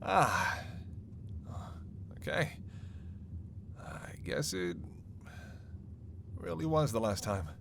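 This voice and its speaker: Male actor as sardonic male vampire, flirty and witty, unhurried, gravelly, intimate audio roleplay, faint background noise. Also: medium pitch, sing-song, fairly bright tone, exaggerated voice. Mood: stunned, wry. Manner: playful, sardonic, murmuring asides and sighs. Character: teasing, witty male vampire, flirtatious and weakened after captivity.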